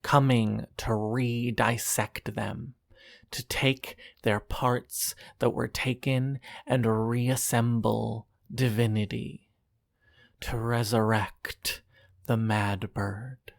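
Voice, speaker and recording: A narrator performing scripted horror fiction in a deliberate, dramatic manner, as a dying lover speaking in haunting reproach. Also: mid-range voice, level tone, gravelly, dry recording, clean studio sound. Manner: slow, deliberate, dramatic, with pauses.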